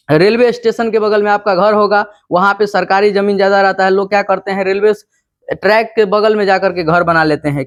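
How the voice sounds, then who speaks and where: A man's voice: high-pitched, no echo, studio quality. A man with a Bihari accent presenting an informal explainer, teaching step by step with repetition and questions he answers himself. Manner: didactic, repetitive, asks and answers own questions. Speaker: video presenter explaining land law.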